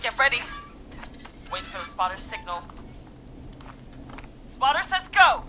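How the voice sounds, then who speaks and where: A woman voicing a gutsy, nervy teenage girl who leads an escape plan in a video game, excitable and rallying. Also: a little high, measured pace, a little hiss or hum, full character voice. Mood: excited, urgent. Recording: music behind the voice.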